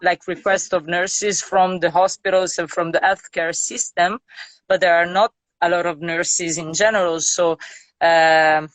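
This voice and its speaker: A woman's voice: fairly low pitch, level tone, unhurried, no echo. A woman from Italy speaking English, a nurse talking thoughtfully in a casual interview with many fillers. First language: Italian.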